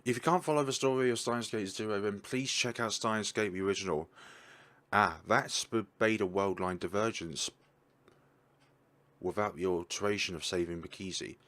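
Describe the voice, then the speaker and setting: Young man, low voice, excitable, thinking out loud, let's-play commentary. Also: normal speed. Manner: chatty, thinks aloud, excitable. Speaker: gaming YouTuber.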